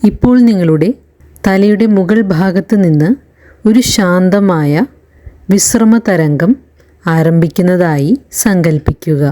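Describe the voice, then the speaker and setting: A woman leading a guided meditation in a calm, soothing narration, leaving long pauses between phrases. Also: on the low side. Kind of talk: slow guided relaxation narration.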